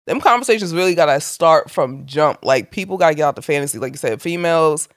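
A woman's voice: sits low, animated, fast. A woman in her thirties with a big, blunt voice, co-hosting a casual podcast chat. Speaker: podcast co-host.

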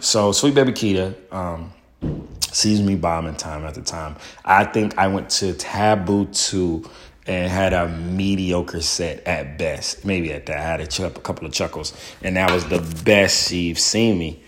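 A young man with a loud, somewhat low voice recounts his early days as a stand-up comedian. He tells it with animated energy and slips into the voices of the people he quotes. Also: measured pace, a little hiss or hum. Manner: acts out quoted people in bursts. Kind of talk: animated storytelling interview.

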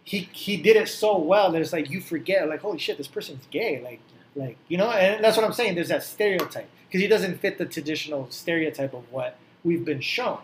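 A man's voice: a little high, animated, fairly quick, slight background noise. A man hosting a casual podcast chat, asking probing questions with a joking, filler-heavy manner. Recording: roomy echo.

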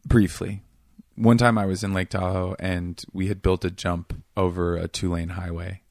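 A man from Seattle, a comedian guest in casual interview chat, talking in a rambling, self-deprecating way with a voice that is getting raspy. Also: low voice, little pitch movement, moderate pace, a little mellow, no room sound, studio quality. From Seattle area, USA.

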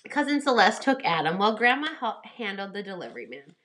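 A woman's voice: medium pitch, sing-song, a little fast, fairly bright tone. A woman storyteller reading a children's storybook aloud with expression.

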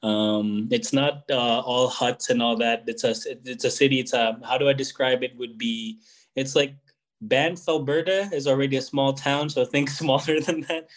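A young man speaks as an interview guest. He is thoughtful and unhurried in his answers. He often pauses with fillers and starts his sentences over. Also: mid-range voice, fairly even intonation. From Philippines. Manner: reflective, hesitant, many fillers and restarts. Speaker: guest musician.

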